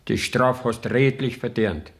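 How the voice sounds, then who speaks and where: A prison official acted by a man in a German radio drama, speaking Bavarian dialect with a gravelly creak. His pitch is average. He sounds dry and weary, admonishing in a matter-of-fact way. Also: unhurried, close to an everyday voice. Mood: stern.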